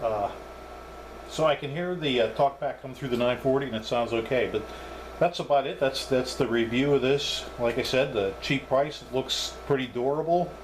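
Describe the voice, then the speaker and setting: A man's voice: medium pitch, moderate pace. A man giving a casual, off-the-cuff hands-on equipment review, thinking aloud in a hesitant way. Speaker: reviewer demonstrating radio equipment. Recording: clearly noisy background.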